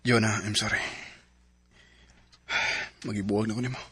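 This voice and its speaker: Guy doing a cold blunt boyfriend who cheated, flat plain voice, radio drama. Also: lowish voice, moderate pace, heavy background noise, lightly acted.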